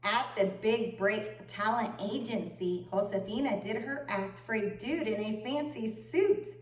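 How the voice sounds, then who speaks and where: A woman with a fairly high voice narrates a children's picture book expressively, as a read-aloud storyteller. The sound is roomy and echoey, picked up from some distance. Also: unhurried. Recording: roomy echo, far from the mic.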